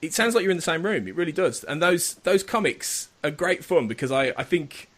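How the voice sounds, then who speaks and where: A man hosting a podcast interview, eager and quick to laugh, often restarting his sentences. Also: fairly high pitch, animated, fairly bright tone. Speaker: podcast host.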